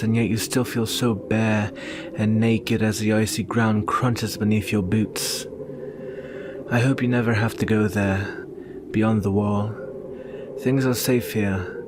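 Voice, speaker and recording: A man playing a brooding, protective young northern warrior and devoted lover in an earnest, solemn ASMR roleplay with measured pauses. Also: on the low side, natural voice. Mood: grave, reassuring. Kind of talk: ASMR roleplay, intimate slow dramatic monologue. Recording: very noisy ambient background.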